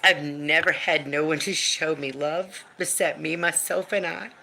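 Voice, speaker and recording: A woman in her fifties with a deep, smoky voice talks slowly and with hard, forceful edges on a live stream. She is blunt and emphatic, swears without pause, and hammers her points home through repetition. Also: steady tone, gravelly, a little hiss or hum. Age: 50s. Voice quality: deep smoker's voice. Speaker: livestream host. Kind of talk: emotional live-stream venting. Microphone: phone or webcam for a live stream.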